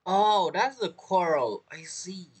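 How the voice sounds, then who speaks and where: A man's voice: high voice, lively intonation, slow, slightly rough. A man giving casual, joking, reactive commentary as he streams a game. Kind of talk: casual commentary over gameplay.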